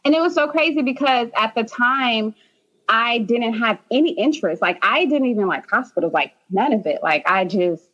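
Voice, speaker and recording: A woman's voice: a little high, expressive, moderate pace, a bit bright, dull audio. A young woman from Haiti, a nurse practitioner, chatting as a podcast guest with many "um"s and "like"s. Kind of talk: casual interview.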